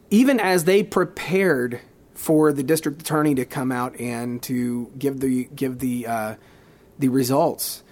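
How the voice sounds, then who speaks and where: A man with a somewhat high voice, talking in a casual podcast conversation. He speaks earnestly but haltingly, repeating words as he looks for the right ones. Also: a little mellow. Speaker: podcast co-host.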